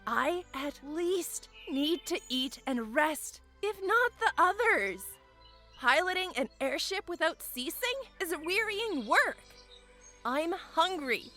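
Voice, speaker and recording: Woman doing a tired stubborn airship pilot gal, bright sharp voice, insistent, fantasy drama w/ faint music. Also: very high-pitched, very animated, slow pace, full character voice. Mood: weary, pleading. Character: weary, stubborn female airship pilot and mechanic. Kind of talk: fantasy audio drama acting.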